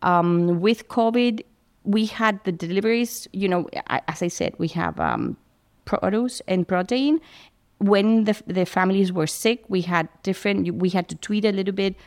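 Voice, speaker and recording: A middle-aged woman from Spain, a food pantry founder chatting as a guest in a halting, restarting way. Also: mid-range voice. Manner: warm, halting, many restarts. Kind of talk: casual interview talk.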